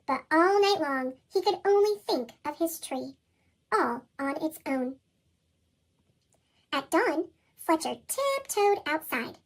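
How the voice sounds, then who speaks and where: A woman reading a children's storybook with expressive delivery, her voice shifted up by a chipmunk effect. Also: slow pace, pauses often. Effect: pitched-up chipmunk voice effect. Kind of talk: scripted read-aloud storybook narration.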